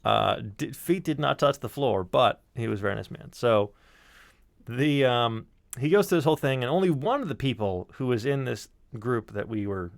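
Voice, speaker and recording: A man's voice: mid-range voice, creaky, no echo, clean studio sound. A man telling an anecdote in a casual, wry and emphatic way, with some swearing. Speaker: storyteller on a podcast stream. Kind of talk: casual storytelling.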